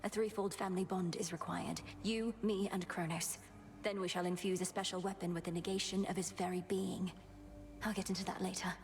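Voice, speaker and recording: A woman playing a resolute young goddess princess in a video game, speaking in a formal, measured, determined way. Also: fairly low pitch, fairly even intonation, faint music behind, close to an everyday voice. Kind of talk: dramatic video game acting.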